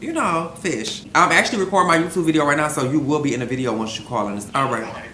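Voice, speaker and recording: A young man vlogging from home, answering viewer questions in a candid, slangy, animated way. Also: on the high side, fast. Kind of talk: casual vlog Q&A. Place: room at home he records in. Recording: echoey room, faint background hum.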